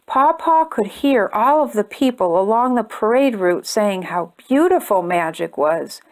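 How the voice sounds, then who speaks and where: A woman reading a children's picture book aloud with expressive, deliberate storytelling and emphatic phrases. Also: fairly high pitch. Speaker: storytime reader and host.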